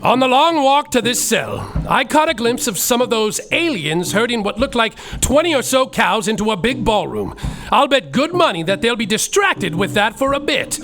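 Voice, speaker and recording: A man's voice: very high voice, sing-song, normal speed, a bit bright. A man narrating and playing a brash, profane detective in a live comedy musical podcast on stage. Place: theater stage before an audience.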